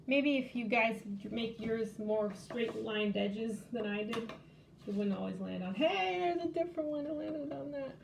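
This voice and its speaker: A woman's voice: a little high, measured pace, vocal fry, slight background noise. A woman hosting a children's library storytime, casually showing a craft and game step by step in simple words.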